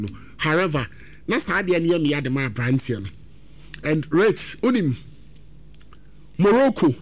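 A man with a Ghanaian accent hosting a football talk segment, animated and switching between English and Twi. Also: on the high side. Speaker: sports radio host.

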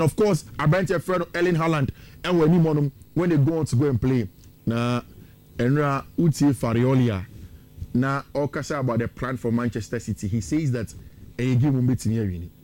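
Ghanaian guy doing football betting previews, voice a bit high, opinionated and chatty, mixes in local words. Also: darkish tone. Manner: conversational, opinionated, switches into local-language phrases. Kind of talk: sports preview commentary.

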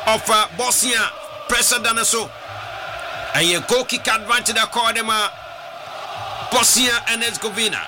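A man with a Ghanaian accent doing live radio football commentary, shouting excitedly and mixing Twi and English. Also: high-pitched. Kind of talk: live radio play-by-play with read-in ads. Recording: noisy broadcast with crowd behind.

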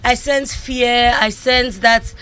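A woman's voice: a little high, steady tone, takes it slowly, fairly bright tone, smooth, faint music behind. A young adult woman comedian with a Ghanaian accent, speaking candidly and animatedly in an interview, now and then slipping into Pidgin. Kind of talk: interview conversation.

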